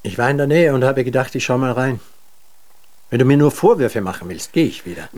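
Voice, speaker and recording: Male actor as weary man, halting, stubborn, self-doubting, medium pitch, natural radio play delivery. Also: darkish tone, natural voice. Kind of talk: German radio drama acting.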